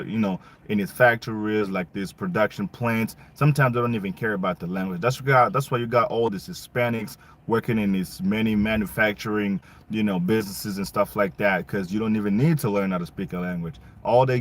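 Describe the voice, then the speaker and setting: A young man from Congo giving a casual, emphatic opinion monologue full of rhetorical questions, his not English. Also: mid-range voice. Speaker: vlogger.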